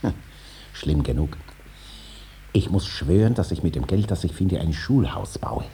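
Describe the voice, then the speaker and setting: An actor playing a cunning, eager farmer with a plain-spoken, evasive manner in a 1950s German radio play. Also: male voice, low-pitched, animated, measured pace, gravelly, dark tone, full character voice. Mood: uneasy, secretive. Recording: faintly hissy 1950s radio recording.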